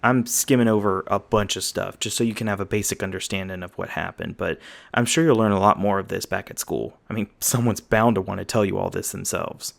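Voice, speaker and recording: Easygoing magi guide, played by a man, gravelly, patient fantasy storyteller for ASMR roleplay. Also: on the low side, steady tone, fairly quick. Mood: fond, teasing. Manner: folksy, patient explaining.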